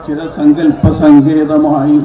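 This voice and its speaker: A man recites verse in an echoey hall, with some audience sound around him. His voice is fairly high and mellow. He chants the lines in a measured way, sometimes halting and repeating a phrase. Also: completely flat delivery, some chatter behind. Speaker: poetry reciter. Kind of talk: live poem recitation at a community event.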